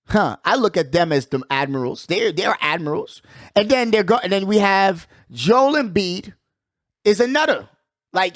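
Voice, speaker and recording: A man hosting a casual sports talk show, giving animated, slangy commentary and repeating phrases for emphasis. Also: sits high, no room sound, studio quality. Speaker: sports podcast host.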